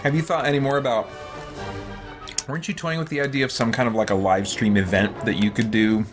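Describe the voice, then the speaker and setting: A man's voice: mid-range voice, steady tone, a little fast, music in the background. A man hosting a casual gaming live stream, chatting and thinking aloud as he plays.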